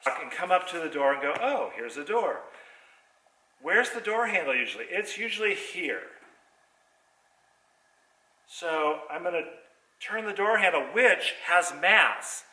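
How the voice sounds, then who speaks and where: Guy teaching theatre step by step, bright sharp voice, kinda far from the mic, echoey. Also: on the high side, sing-song, a little slow, pauses often. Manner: explains movements step by step. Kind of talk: hands-on demonstration lesson. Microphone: distant computer microphone on a video call.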